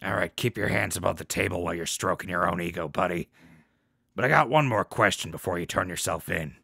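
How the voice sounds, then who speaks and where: Man playing a wry, gravelly wasteland radio host. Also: brisk, bright, forceful tone, no echo, clean studio sound, exaggerated voice. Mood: wry, firm. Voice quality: low, gravelly. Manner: sardonic, folksy radio-host patter. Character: wry male wasteland radio show host, unflappable and sardonic. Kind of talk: post-apocalyptic radio drama acting.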